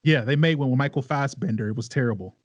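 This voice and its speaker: A man's voice: mid-range voice, fast, muffled recording, slight background noise. A man, a podcast panelist who banters casually in an argumentative, exasperated way.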